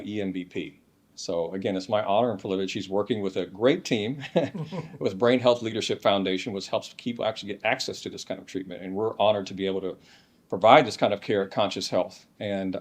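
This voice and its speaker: A man hosting a conference session, warmly introducing the next speaker with plenty of ums. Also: low voice. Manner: enthusiastic, with frequent ums.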